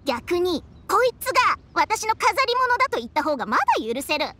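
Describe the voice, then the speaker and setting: A woman voicing a proud, bossy, sharp-tongued talking snake in a Japanese video game. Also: extremely high pitch, sing-song, a little fast, noisy background. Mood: haughty, indignant. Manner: bossy, blunt, scolding.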